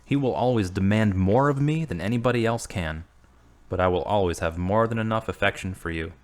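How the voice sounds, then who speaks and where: A man playing a devout, gentle boyfriend, steady and reassuring, in earnest, measured audio drama dialogue. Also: fairly low pitch, natural voice.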